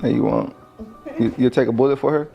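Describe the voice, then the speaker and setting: A man's voice: mid-range voice, brisk, creaky. A man chatting casually and flirtatiously in a teasing, slangy way. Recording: muffled video clip with music.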